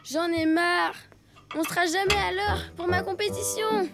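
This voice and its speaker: A woman's voice: very high voice, fairly even intonation, unhurried, creaky, heavily acted. A woman voicing an impatient, anxious young boy in a children's cartoon, earnest and whiny. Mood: exasperated. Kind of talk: French children's cartoon dubbing. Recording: loud cartoon music behind the voice.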